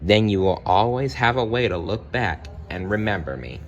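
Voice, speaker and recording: A man voicing a shy, sorrowful but tender male beast in a storybook, halting and subdued. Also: fairly low pitch, expressive, dull audio, natural voice. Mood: sad, resigned.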